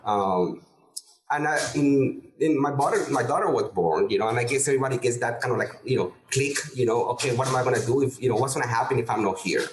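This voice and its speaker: A middle-aged man answers interview questions casually, stumbling through restarts and fillers. His voice sits at a medium pitch. The recording has a roomy, echoey sound. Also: slight background noise. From Peru. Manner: halting, many restarts and fillers. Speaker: guest real estate investor.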